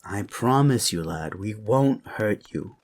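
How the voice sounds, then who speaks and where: A man playing an earnest officer in a fantasy audio drama, with an expressive delivery. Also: on the low side, slow pace, heavily acted. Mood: soothing, reassuring.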